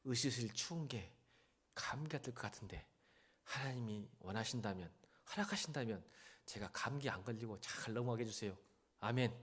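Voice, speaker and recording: A male pastor delivers a church sermon in a very lively, widely swinging voice. He talks directly to his listeners in a conversational way, urging them on and putting rhetorical questions to them. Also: medium pitch, breathy edge. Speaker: senior pastor. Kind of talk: church sermon with Bible reading.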